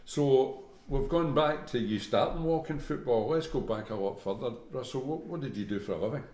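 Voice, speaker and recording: A man's voice: mid-range voice, animated, normal speed, darkish tone. A Scottish man hosting a casual interview, chatty and prompting with short agreements. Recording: echoey room with faint background hum.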